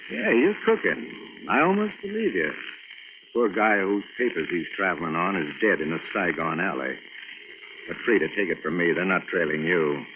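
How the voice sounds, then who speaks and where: A hard-boiled American adventure hero in a radio play. He has a low voice and a brusque, wisecracking, slangy delivery. The recording is old, tinny and full of noise. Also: lively intonation, normal speed. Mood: wry, skeptical.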